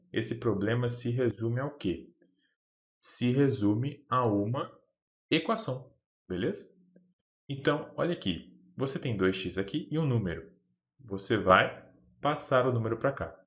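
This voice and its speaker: A man with a Brazilian Portuguese accent teaching a math lesson step by step, patiently checking that the viewer follows. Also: fairly low pitch. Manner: patient, keeps checking in with "beleza?".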